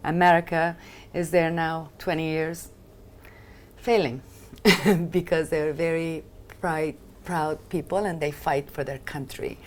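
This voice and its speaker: Passionate low-voiced woman in her fifties. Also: steady tone, takes it slowly. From Afghanistan. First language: Italian. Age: 50s. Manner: passionate, emphatic storytelling. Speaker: guest, film director and activist. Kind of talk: interview conversation.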